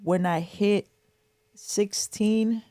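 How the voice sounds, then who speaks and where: A woman's voice: medium pitch, steady tone, very slow, warm tone, no echo, clean studio sound. A middle-aged woman from the Boston area talks in a mid-range voice with very little room sound. She reminisces casually and candidly, repeating phrases to press her point. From Boston area, Massachusetts. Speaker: interview guest, mother.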